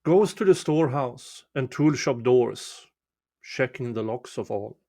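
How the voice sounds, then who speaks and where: A man reading a folk poem aloud as a storyteller, measured and deliberate, pausing between short phrases. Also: medium pitch, expressive, mellow. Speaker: amateur reader of a poem. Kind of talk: slow, dramatic storytelling recitation of a winter folk poem.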